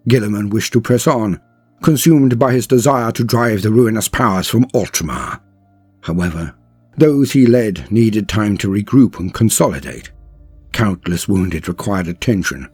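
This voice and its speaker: A man with a low, husky voice delivers scripted lore as a dramatic narrator. His storytelling is solemn and grand, shaped like an epic chronicle. Faint music plays beneath his words. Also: darkish tone, dry recording. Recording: music under the voice. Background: background music.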